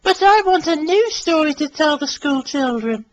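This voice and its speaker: A gentle, worried storyteller woman, as a man puts her on for a storybook. Her voice sits far above a plain speaking register and sounds soft and anxious, with a different tone colour. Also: very high-pitched, expressive, moderate pace, highs cut off, pitched above the performer's own voice.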